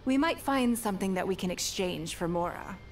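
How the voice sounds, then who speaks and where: A woman's voice: medium pitch, lively intonation, a little hiss or hum, natural voice. A woman voicing a poised, shrewd female leader. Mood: composed. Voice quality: measured, refined, self-assured. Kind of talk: game character dialogue.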